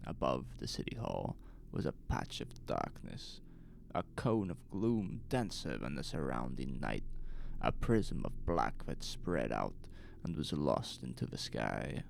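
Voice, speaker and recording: A man narrating a horror story from a script, dramatic and suspenseful, with deliberate, weighted pauses. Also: rumbling low, very animated, gravelly, slight background noise.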